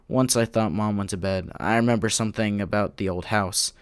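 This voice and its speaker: A man's voice: on the low side, fairly even intonation, fairly quick, clear, resonant tone, dry recording, natural voice. A man playing a bitter, despairing man in his late thirties who narrates his diary in an anguished, self-loathing audio drama style. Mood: anguished confession.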